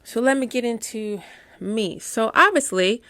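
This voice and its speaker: A middle-aged woman doula who stutters, giving candid, warm advice to new doulas in a casual talk with playful asides. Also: fairly high pitch, measured pace, dry recording, clean studio sound. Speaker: doula and course teacher.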